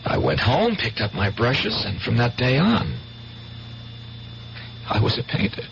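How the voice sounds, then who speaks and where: An actor playing an earnest, dreamy and evasive painter in a 1970s radio drama, with a halting, dramatic delivery. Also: male voice, medium pitch, huge pitch swings, vocal fry, a bit bright, heavily acted. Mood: rapt, intense. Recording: muffled 1970s radio broadcast, faint hum.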